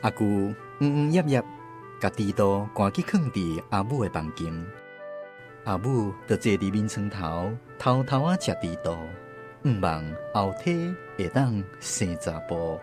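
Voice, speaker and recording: A woman's voice: extremely low pitch, lively intonation, unhurried, music in the background. A woman with a Taiwanese accent narrating a radio drama as a grown daughter recounting her family's past. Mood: storytelling.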